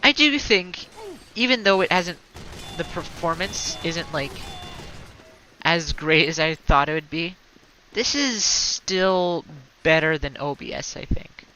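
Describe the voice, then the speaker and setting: Stuffy-voiced streamer woman, bright tone. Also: on the low side, animated, slow, a little hiss or hum, low-quality recording. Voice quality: slight natural stutter. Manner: rambling, heavy on fillers and restarts. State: congested from allergies. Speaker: game streamer. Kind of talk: casual gameplay chatter.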